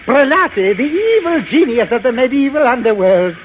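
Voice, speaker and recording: A man's voice: very high-pitched, sing-song, normal speed. A man playing a panicky, theatrical lighthouse keeper and former stage actor in old-time radio horror, frantic and declaiming. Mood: mad, theatrical. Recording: muffled, noisy vintage radio recording.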